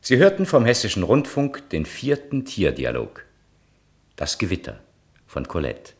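A man's voice: lowish voice, huge pitch swings, unhurried. A man reading scripted programme credits as a radio announcer.

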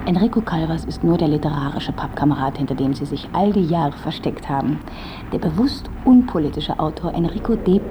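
An actress playing a sharp, sardonic journalist who needles and accuses, in a German radio play. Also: female voice, deep voice, background noise. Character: sharp, mocking career-driven female journalist confronting a writer.

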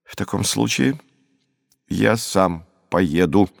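A man's voice: low-pitched, animated, takes it slowly, vocal fry, no echo, exaggerated voice. A proud young would-be adventurer from a storybook, played by a man. He is stern and haughty, pressing his demands in grand declamatory phrases. The recording is dry, with no room sound. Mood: scornful persuading. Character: bold stern schoolboy adventurer, proud and bossy.

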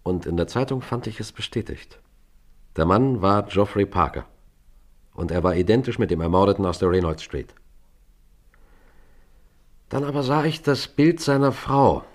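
A man playing a composed detective who narrates and questions in a German radio crime play, measured and pointed. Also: fairly low pitch, animated, natural voice. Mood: measured, grave. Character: composed, probing male detective narrating his case.

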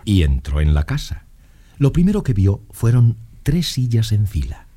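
A man's voice: lowish voice, wildly swooping pitch, moderate pace, dark tone, breathy edge. A man narrating a children's story in a scripted storytelling style. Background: light music.

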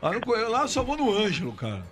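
A quick, high male voice with a São Paulo accent, music clearly behind it. He hosts a radio show, bantering casually. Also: wildly swooping pitch. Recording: radio broadcast with music underneath.

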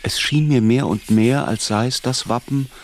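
An actor playing a reflective narrator who recalls his youth in measured literary first-person narration. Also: mid-range voice, a little hiss or hum, close to an everyday voice.

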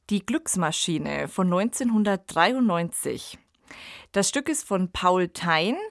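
A woman's voice: mid-range voice, no echo, studio quality. A woman hosting a podcast, giving a scripted introduction and putting questions to her co-host.

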